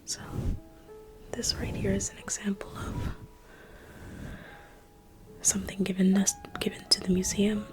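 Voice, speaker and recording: A woman's voice: mid-range voice, little pitch movement, unhurried, long pauses, soft background music. A young woman whispering an ASMR roleplay as a museum guide, playful and teasing like a salesperson.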